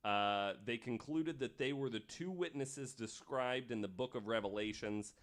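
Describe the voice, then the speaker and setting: A man's voice: medium pitch, normal speed, fairly bright tone. A man hosting a podcast, telling stories from notes in an informal, casual way. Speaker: podcast host.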